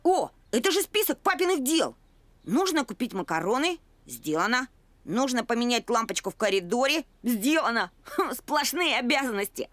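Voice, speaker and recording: A woman voicing a carefree, messy young boy puppy in a children's cartoon, with an expressive, boyish delivery that grumbles and exclaims. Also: on the high side, slight background noise. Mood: dreamy, fed up. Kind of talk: children's animated series acting. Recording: music under the voice.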